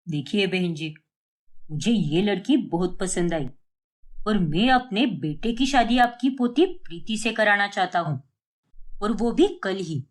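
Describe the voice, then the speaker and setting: A woman's voice: mid-range voice, animated, unhurried, pitched below the performer's own voice, lightly acted. A woman voicing a stern, decisive middle-aged father in a cartoon family story, speaking with firm authority.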